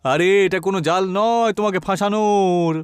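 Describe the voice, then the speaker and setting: A man's voice: high-pitched, a little fast, clean tone, no room sound, clean studio sound, close to an everyday voice. A man voicing a huge, kindly but commanding genie in a cartoon, with theatrical, reassuring delivery. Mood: encouraging. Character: huge male genie, kindly helper who turns stern. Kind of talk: cartoon dialogue. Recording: music behind the voice. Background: music.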